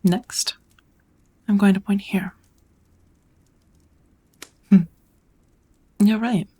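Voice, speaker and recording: A woman playing a kind, patient witch who teaches magic in an ASMR roleplay, speaking softly and often in a whisper, in a gentle, measured, encouraging way. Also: fairly low pitch, heavily acted. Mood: calm, instructive. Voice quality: soft, breathy, often whispered.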